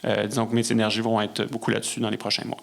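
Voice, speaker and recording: A man with a Québécois French accent, speaking as a guest in a casual interview, often halting to search for words. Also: on the low side, monotone, creaky. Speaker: podcast guest, musician and conductor.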